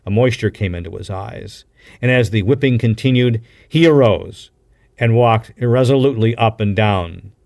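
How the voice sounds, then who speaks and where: A man reading an adventure novel aloud with a deliberate, dramatic storytelling delivery, like a practiced broadcaster. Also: fairly low pitch, gravelly, darkish tone. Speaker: audiobook reader, radio broadcaster.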